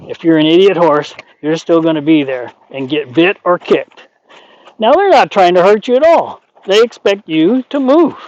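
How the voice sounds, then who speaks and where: A man's voice: on the high side, a little mellow, lo-fi sound. Folksy man teaching horse training. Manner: folksy, rambling, plain-spoken. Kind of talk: explaining horse training while working. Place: outdoors at a horse pen.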